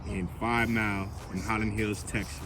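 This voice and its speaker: A man's voice: lowish voice, little pitch movement, normal speed. A man from Los Angeles co-hosting a casual Q&A, laid-back and slangy with lots of "you know". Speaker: co-host. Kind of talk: casual Q&A chat.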